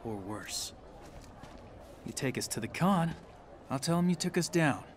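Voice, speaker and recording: A man's voice: a little high, lively intonation, measured pace, slight background noise. A man playing a confident, studious young warrior monk in a video game, blunt and a bit cocky. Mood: persuasive.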